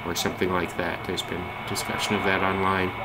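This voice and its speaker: A man's voice: deep voice, little pitch movement, brisk. A man giving casual, unscripted commentary as a radio hobbyist, thinking aloud with some hesitation. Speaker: shortwave radio hobbyist narrating a band scan. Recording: heavy shortwave radio static and noise. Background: radio static and broadcast chatter.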